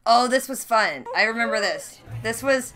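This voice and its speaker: A woman vlog host chatting casually and candidly, with animated, jokey commentary on her old videos. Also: a little high, moderate pace, crowd noise. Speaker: host of a reaction channel.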